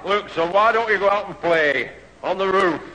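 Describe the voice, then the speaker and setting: A man's voice: high voice, normal speed, close to an everyday voice. Long-suffering bobby played by a man, officious and weary, lightly hissy old radio sitcom recording. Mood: exasperated. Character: long-suffering, officious English police constable. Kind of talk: 1970s radio sitcom acting. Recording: reverberant, slightly noisy old radio recording.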